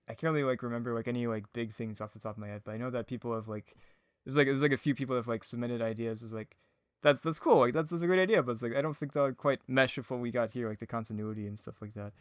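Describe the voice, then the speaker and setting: A man's voice: mid-range voice, fast talker, clear, resonant tone, no room sound. Easygoing man chatting, dry close sound. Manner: easygoing, agreeable, hesitant phrasing full of "like". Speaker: podcast host, audio drama producer. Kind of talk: casual chat.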